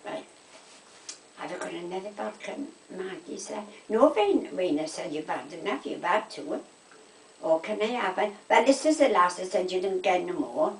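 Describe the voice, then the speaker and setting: An older woman with a South Wales Valleys accent, telling gossipy stories in casual, animated chat. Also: fairly low pitch, moderate pace, a little hiss or hum. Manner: animated anecdotes, quoting conversations, checking with "right?". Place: indoors at home. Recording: echoey room, distant microphone.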